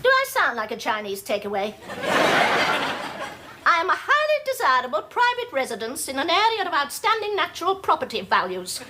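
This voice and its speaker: An actress playing a pretentious, domineering middle-aged English housewife in a sitcom, with an affected, plummy voice. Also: female voice, high-pitched, huge pitch swings, fairly quick, heavy background noise. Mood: indignant. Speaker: sitcom character.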